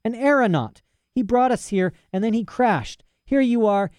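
A man reading a story aloud and voicing a defiant young girl on the edge of tears, with rough, ungrammatical speech. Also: very high-pitched, lively intonation, moderate pace, darkish tone, no room sound, voice pushed up. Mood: tearful outburst. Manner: rough working-class speech, indignant.

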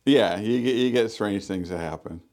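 A man with a fairly low voice talks as a guest on a radio interview. He is a librarian and speaks loudly, with many ums, restarts and friendly asides. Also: moderate pace. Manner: loud, chatty, many fillers.

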